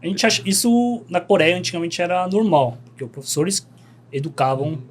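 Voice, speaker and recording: A young man, a podcast guest telling stories in relaxed, slangy chat. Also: high voice, brisk, a little hiss or hum. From Brazil. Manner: laid-back storytelling, slangy, animated.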